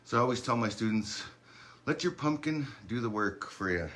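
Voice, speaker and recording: A man's voice: mid-range voice, normal speed. Easygoing man coaching a stretch routine. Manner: relaxed, encouraging, chatty with asides. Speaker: fitness instructor. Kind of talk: guided workout instruction.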